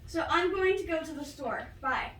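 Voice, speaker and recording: A woman's voice: sits high, expressive, normal speed, fairly bright tone. A woman voicing a hesitant, awkward female puppet friend in an amateur puppet show. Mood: hurried. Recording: roomy, noisy, distant microphone.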